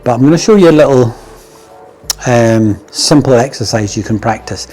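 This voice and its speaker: A man's voice: mid-range voice, lively intonation, moderate pace, darkish tone, soft background music. A middle-aged Scottish man coaching golf in a casual, blunt and jokey way, often checking in with "yeah?". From Scotland. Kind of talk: casual instructional talk.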